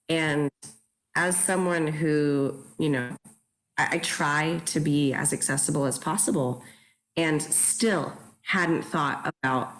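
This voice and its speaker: A woman's voice: sits low, poor audio. A woman who works as a theatre director, talking casually in a panel, earnest and grateful.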